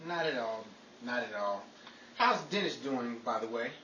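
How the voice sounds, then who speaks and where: A man's voice: medium pitch, lively intonation, a little slow, bright, forceful tone, highs cut off. Polite 1940s radio man actor, distant and echoey. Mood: courteous. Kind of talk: 1940s radio comedy acting. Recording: distant, echoey room with clear noise.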